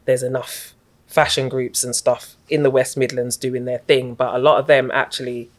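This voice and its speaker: A middle-aged woman, a guest in an interview, recounting her story in a reflective, even-toned, unhurried way. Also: rumbling low. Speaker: guest, community activist.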